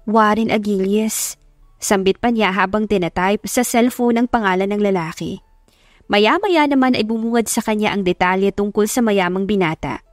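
A woman's voice: mid-range voice, moderate pace, dry recording, studio quality, faint music behind. A woman with a Filipino accent narrating a dramatised story as an audiobook reader.

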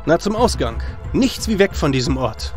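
A man's voice: on the high side, big pitch swings, moderate pace, a little mellow, pitched above the performer's own voice. A man voicing a bold, defiant warrior hero in an audio drama, brash and plain-spoken. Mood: wry, brisk. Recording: music under the voice.

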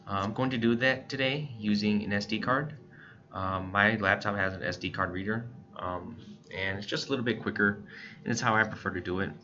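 An American man giving a casual, plain-spoken step-by-step tutorial as he presents software on screen. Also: fairly low pitch, brisk. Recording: dull lo-fi voice-over recording.

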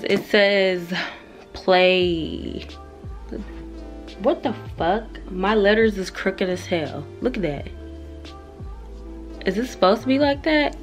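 A young woman vlogging a fashion try-on in a bedroom, chatting casually and candidly with slang and swearing. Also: on the low side. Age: young adult. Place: carpeted bedroom indoors. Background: music.

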